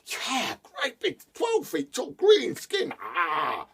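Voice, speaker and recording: Young man, shaken, breathy voice, storyteller doing it. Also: low-pitched, animated, fairly quick, a little mellow, voice pushed down, heavily acted.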